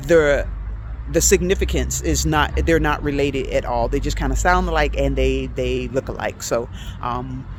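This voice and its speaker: A chatty woman teaching language in a relaxed vlog, whose first language is English. She talks in an easy, halting way, filling pauses with ums and uhs and moving between languages. She is recorded outdoors in a city, with faint traffic in the background. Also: very deep voice. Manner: chatty, hesitant with many fillers, switches between languages. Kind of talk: casual vlog lesson.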